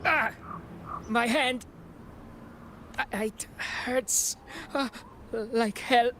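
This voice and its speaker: An actress playing an injured woman survivor in a video game, halting and in pain. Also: a little high, noisy background. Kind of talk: dramatic video game voice acting.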